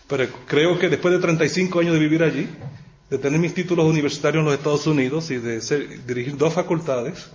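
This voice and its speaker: Higher-voiced Puerto Rican man giving a talk. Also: darkish tone. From Puerto Rico. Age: middle-aged or older. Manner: good-humoured, self-aware asides. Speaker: university professor, guest speaker. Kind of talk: informal public lecture to an audience. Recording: dull, muffled recording with clear background noise.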